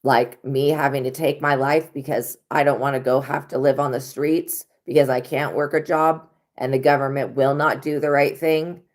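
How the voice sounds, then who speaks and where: A woman tarot reader hosting a live stream in a casual monologue, with a hoarse, worn-out voice and a blunt, profane way of talking. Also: extremely low pitch, steady tone, normal speed, hardly any pauses. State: hoarse, lost voice.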